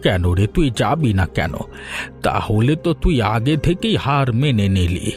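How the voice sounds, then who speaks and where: A man's voice: mid-range voice, very animated, moderate pace, a little mellow, natural voice. A man voicing a middle-aged village father in a cartoon fairy tale, chiding and goading his daughters in a lecturing manner. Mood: urging. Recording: music under the voice.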